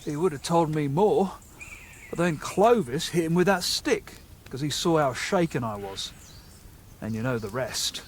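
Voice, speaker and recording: A gullible young medieval bandit for a game. His voice is high and very animated in pitch. He stammers, hesitates and gets worked up easily, with faint noise in the background. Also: a little slow. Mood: spooked, earnest. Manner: hesitant, stammering, excitable, colloquial. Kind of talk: video game dialogue acting.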